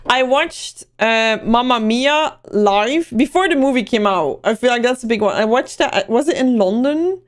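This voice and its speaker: A woman's voice: a little high, lively intonation, normal speed, few pauses. A young Belgian woman, chatting casually on a livestream, rambling and answering chat messages as they come in. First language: Dutch. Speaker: livestream host.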